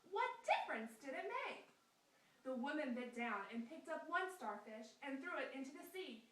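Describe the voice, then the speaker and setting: A bright, high, lively girl puppet, voiced by a woman, earnest and explanatory in a sing-song storybook manner. Her voice swoops up and down with a sharp, brilliant edge. She sounds set back from the mic in an echoey room. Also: moderate pace, recorded from a distance. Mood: storytelling. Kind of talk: scripted puppet skit read aloud.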